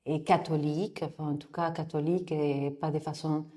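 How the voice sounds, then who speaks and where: A woman from Mexico, a guest in a casual interview, speaking French as a with frequent pauses and restarts, recorded in a yoga studio. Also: low voice, flat delivery, warm tone. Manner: hesitant, many restarts and fillers.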